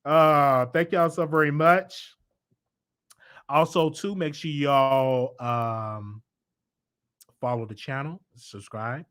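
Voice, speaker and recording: A man's voice: on the high side, fairly even intonation, slow, pauses often, a bit bright, clear, resonant tone, dry recording. A man in his thirties with a Southern US accent, casually chatting with viewers as host of a live stream, laughing often and repeating himself. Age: 30s.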